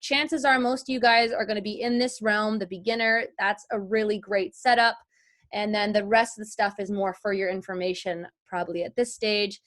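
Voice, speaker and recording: A woman's voice: medium pitch, fairly even intonation, normal speed, fairly bright tone. A woman teaching an informal online class as a guest voice-over artist, chatty and explanatory, speaking directly to the group.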